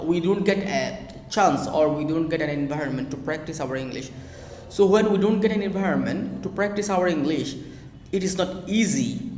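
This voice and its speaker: A young Bangladeshi man, a native Bengali speaker, gives earnest advice to English learners, repeating phrases and pausing with fillers. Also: a little high, a little mellow, a little hiss or hum. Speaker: spoken English teacher. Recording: echoey room with long reverb.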